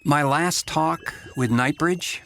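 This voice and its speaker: Deliberate, knowing confidant. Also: male voice, fairly high pitch, creaky, a bit bright, a little hiss or hum, full character voice. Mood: wry, grave. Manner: deliberate, dramatic pauses. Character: grave knowing male confidant, reassuring and wry. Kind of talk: audio drama acting.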